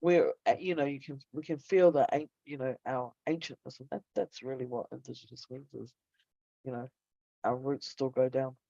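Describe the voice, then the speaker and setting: A woman's voice: very deep voice, steady tone, moderate pace, gravelly, mellow, no room sound, dull audio. A woman from New Zealand hosting a casual online talk, meandering and searching for her words, then guiding a breathing session. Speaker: host.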